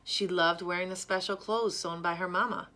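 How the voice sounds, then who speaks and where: Bright-voiced woman reading a children's story. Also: fairly low pitch, steady tone, normal speed. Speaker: storyteller reading a picture book. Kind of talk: read-aloud children's story narration.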